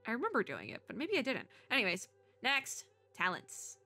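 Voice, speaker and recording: A woman hosting a casual, chatty reaction video about a game, rambling and thinking out loud in an animated way as she reads and comments. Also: fairly high pitch, moderate pace, soft background music. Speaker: VTuber reaction video host.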